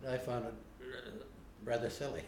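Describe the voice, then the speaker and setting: Older man, interviewee; plainspoken and unhurried, recorded on a roomy camera mic. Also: medium pitch, little pitch movement, a little mellow, a little hiss or hum. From United States. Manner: unhurried, plainspoken, frequent uh pauses. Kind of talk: unscripted recollection. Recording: roomy home video recording.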